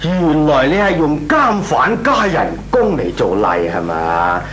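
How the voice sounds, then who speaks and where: A male actor playing a shrewd, mocking company boss in a comic radio drama, with a Hong Kong accent, drawn-out interjections and feigned bafflement. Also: fairly high pitch, sing-song, a bit bright, slight background noise. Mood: dismissive.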